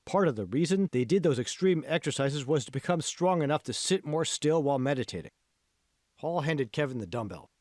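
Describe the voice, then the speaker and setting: A man's voice: fairly high pitch, lively intonation, a little fast, a little mellow, no echo, clean studio sound. A man reading scripted fiction narration as the author of an audiobook.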